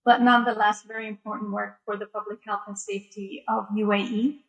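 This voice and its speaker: A woman who grew up in the US talks over a video call. Her tone is bright and a little tense, and her pitch stays steady and flat. She answers in a measured way and fills her pauses with "um" and "uh". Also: rough recording. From Egypt, raised in the US. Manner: measured, with frequent fillers. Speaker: guest speaker. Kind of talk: online interview answers.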